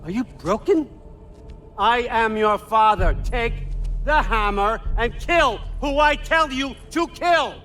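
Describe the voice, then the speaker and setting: A man's voice: extremely high pitch, animated, slow, sharp tone, slight background noise, exaggerated voice. An actor playing a controlling, scheming older god-king and patriarch in a video game, curt and berating in manner. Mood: furious, berating. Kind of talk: dramatic video game cutscene acting. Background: dramatic music.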